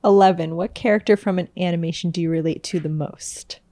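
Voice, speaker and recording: A woman's voice: on the low side, fairly even intonation, brisk, mellow, dry recording. A woman hosting a casual podcast, reading out numbered questions and answering her guest with short, murmured agreements. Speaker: podcast host. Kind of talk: casual interview chat.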